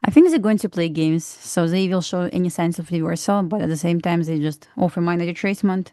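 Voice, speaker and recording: A woman from Belarus with a Russian accent, giving calm, matter-of-fact market commentary on a live trading stream. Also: on the low side, a little fast, no echo, studio quality. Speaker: trader co-host.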